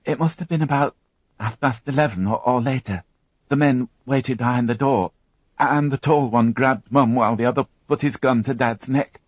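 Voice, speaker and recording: A man voicing a frightened young woman who witnessed a crime, tearful and halting, repeating her words as she talks. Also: medium pitch, no room sound, voice pushed up, close to an everyday voice, low-quality recording. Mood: shaken.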